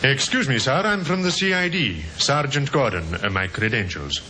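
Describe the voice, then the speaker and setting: A man's voice: medium pitch, expressive, normal speed, music in the background, lightly acted. An actor playing a polite, dutiful male police sergeant in an old radio drama, formal and courteous. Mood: courteous, official. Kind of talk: 1950s radio drama acting.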